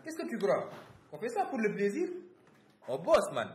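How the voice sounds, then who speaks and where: An actor playing a busy, proud and brash young gold miner in a radio drama, talking in an animated, slangy way. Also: male voice, high-pitched, moderate pace, roomy sound, a little hiss or hum. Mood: indignant, proud.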